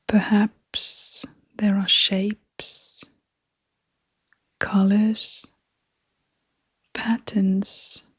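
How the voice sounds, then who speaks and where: Soft breathy woman doing guided meditation, super slow, barely changes pitch, close dry sound. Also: no room sound. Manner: gentle, hushed, breathy, with long pauses between short phrases.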